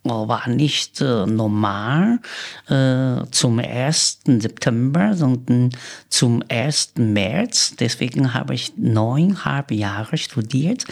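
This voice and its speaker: Man in his sixties, Chinese accent, very slow delivery, halting, medium pitch, academic interview guest. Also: gravelly. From Sichuan, China. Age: 60s. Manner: halting, searching for words. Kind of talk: recounting own life in an interview.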